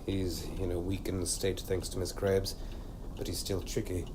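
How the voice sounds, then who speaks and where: A grave, reserved monster keeper played by a man in a sci-fi comedy audio drama. His voice is low, with clipped and disdainful delivery, over a clearly noisy background. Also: level tone, normal speed, a little mellow, natural voice. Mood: grave, warning. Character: stern, humourless, reserved male leader of monster keepers.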